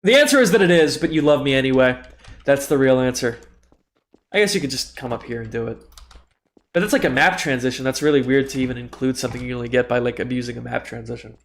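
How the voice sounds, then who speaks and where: A man's voice: medium pitch, fast. A young man with a stuffy nose, tired and self-deprecating, rambling through casual commentary on a live game stream.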